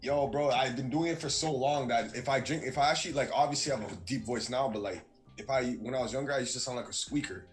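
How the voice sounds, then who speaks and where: A man joking with classmates over a video call, relaxed and full of slang. His voice sits at a middling pitch for a man. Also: quick pace, faint music behind. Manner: slangy, laid-back joking. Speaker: student in a class breakout group. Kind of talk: casual chat.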